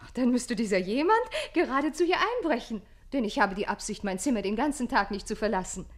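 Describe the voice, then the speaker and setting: An actress playing a proud, indignant countess in a radio play, speaking in a haughty, formal manner. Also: female voice, on the high side, animated, fast, natural voice. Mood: evasive, uneasy. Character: proud, indignant countess, evasive wronged wife. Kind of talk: classic German radio play acting.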